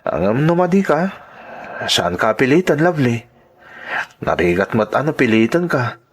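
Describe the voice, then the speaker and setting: A persistent young boyfriend played by a man in an Ilocano radio drama. He coaxes in a casual, cajoling manner, his medium-pitched voice moving freely up and down. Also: very animated, normal speed, slightly rough. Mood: defensive.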